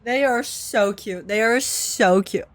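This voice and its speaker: Excitable young woman streamer theorizing. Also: a little high, slow, no room sound. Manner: excitable, rambling, theorizing aloud. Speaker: streamer reacting to a game. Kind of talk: casual reaction commentary. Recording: faint game music behind. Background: game music.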